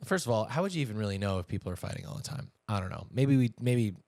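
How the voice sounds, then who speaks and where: A man's voice: fairly low pitch, animated, quick pace, darkish tone, dry recording. Casual male podcast co-host, dry close sound. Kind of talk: casual conversational chat with a partner.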